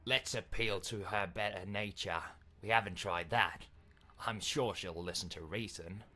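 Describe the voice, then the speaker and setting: A man voicing stubborn, theatrical inner voices from a dark fantasy game in an intense, deliberate manner. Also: lowish voice, steady tone. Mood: coaxing. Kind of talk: dramatic game character acting.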